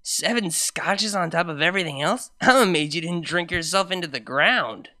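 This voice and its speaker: A woman's voice: fairly low pitch, normal speed, sharp tone. A woman voicing a young female pony DJ, casual and laid-back, her speech turning evasive. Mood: teasing, uneasy.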